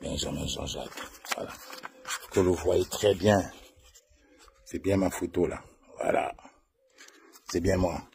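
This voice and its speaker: A man's voice: sits low, fairly even intonation, unhurried, long pauses, darkish tone, slight background noise. A man with a Cameroonian French accent delivering an emphatic, accusatory political monologue, addressing opponents directly as an activist.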